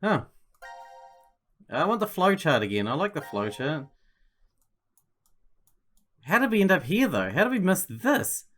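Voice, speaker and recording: A man's voice: on the high side, very animated, unhurried, long pauses, a bit bright. A man giving casual gameplay commentary, thinking aloud and swearing freely. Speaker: gaming streamer. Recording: game music faintly behind.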